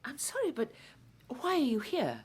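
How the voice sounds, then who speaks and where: An actress playing a guarded Victorian widow who speaks formally and curtly in a radio play. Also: female voice, sits high, wildly swooping pitch, normal speed, a little mellow, husky, heavily acted. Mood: cold, guarded. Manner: formal, curt, distant. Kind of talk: radio drama acting.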